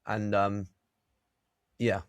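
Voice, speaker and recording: A British man co-hosting a business podcast, blunt and quick, swearing and checking back with "right?". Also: lowish voice, completely flat delivery, no echo, highs cut off. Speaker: podcast co-host. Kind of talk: casual business chat.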